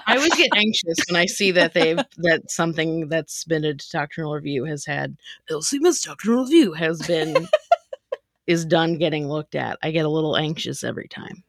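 A woman's voice: low-pitched, lively intonation, moderate pace, no room sound, clean studio sound. A woman hosting a casual chat show and introducing comedy clips. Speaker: podcast host and sketch writer.

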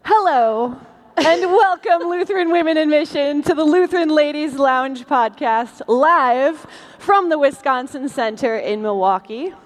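A woman's voice: sits high, animated, measured pace. A woman co-hosting a podcast as a live stage show, trading scripted banter in a big reverberant hall. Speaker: podcast co-host. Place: large convention hall stage.

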